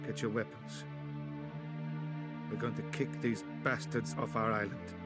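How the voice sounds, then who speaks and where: A man's voice: fairly low pitch, fairly even intonation, a little slow, loud music behind the voice, close to an everyday voice. An actor playing a weary male resistance leader who talks tersely and with determination. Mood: determined. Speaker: game protagonist.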